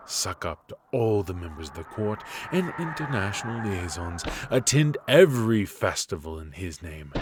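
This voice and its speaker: A man playing a bitter, haughty royal mage in an intimate fantasy ASMR roleplay, speaking in a measured, aristocratic, dryly mocking way. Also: low-pitched, noisy background. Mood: scornful, mocking. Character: bitter, sardonic, haughty royal mage passed over for succession. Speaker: amateur voice actor.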